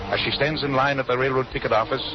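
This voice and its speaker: A man hosting and narrating a 1930s radio drama with measured, theatrical phrasing. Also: mid-range voice, little pitch movement, noisy background. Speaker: radio show host and narrator. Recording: muffled old radio recording, loud music.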